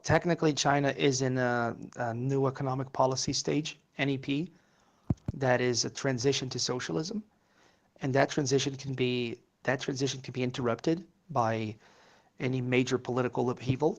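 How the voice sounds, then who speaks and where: A man's voice: mid-range voice, steady tone, moderate pace, creaky. A man whose first language is Portuguese, rambling through casual political chat with many fillers and curses.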